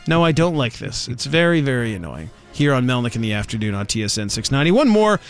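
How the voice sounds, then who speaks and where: A man's voice: medium pitch, very animated, quick pace, gravelly, soft background music. A man hosting a sports talk radio show, rambling and sarcastic with lots of filler words.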